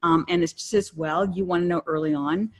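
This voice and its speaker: Woman, middle-aged, low voice, encouraging, casual podcast chat. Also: fairly even intonation, brisk. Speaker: podcast co-host.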